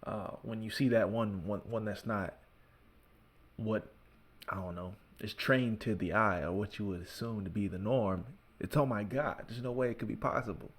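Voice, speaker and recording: A man's voice: fairly low pitch, moderate pace, breathy edge. A man hosting a reaction video, commenting in a laid-back way and often saying "bro" and "man".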